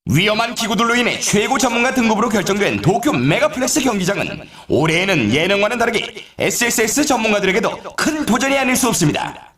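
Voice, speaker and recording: Video game snowboard event announcer: excitable showman, theatrical, very animated male delivery with roomy echo. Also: high-pitched, quick pace. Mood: excited, celebratory. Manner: theatrical, showy, exclaiming. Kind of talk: hyped sports game announcing, scripted.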